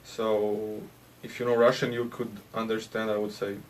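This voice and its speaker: A man's voice: fairly low pitch, level tone. Latvian guy, hockey player, stumbly answers full of uhs, kinda far from the mic. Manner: halting, hesitant, with frequent "uh" and restarts. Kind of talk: casual interview answers. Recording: distant mic with faint background noise.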